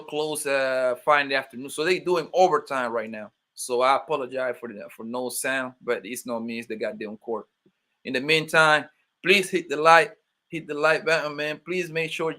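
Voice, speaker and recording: A man's voice: a little high, bright, forceful tone. A young man with a New York accent hosting a casual livestream, joking loosely and calling out chat viewers by name. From born in Colombia, raised in Brooklyn, New York. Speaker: livestream host.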